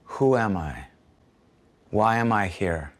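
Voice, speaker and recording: A man's voice: on the low side, animated, takes it slowly. A man speaking in a reflective, searching way in a documentary interview.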